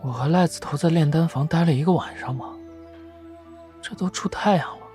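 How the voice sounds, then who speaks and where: An actor playing a weary, gentle young male cultivator in an audio drama, with a bittersweet manner. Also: fairly high pitch, fairly quick, faint music behind, natural voice. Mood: calm.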